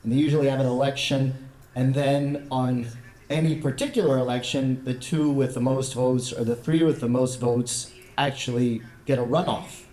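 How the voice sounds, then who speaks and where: A man's voice: medium pitch, fairly even intonation, mellow. American man explaining in an echoey room. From United States. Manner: measured, explanatory, with some fillers. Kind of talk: public talk with discussion.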